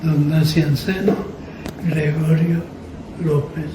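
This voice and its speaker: An older Colombian man giving solemn autobiographical testimony in short, deliberate, measured phrases. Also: a little high, fairly even intonation. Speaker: interviewee, former farm radio journalist. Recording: echoey, distant, clearly noisy.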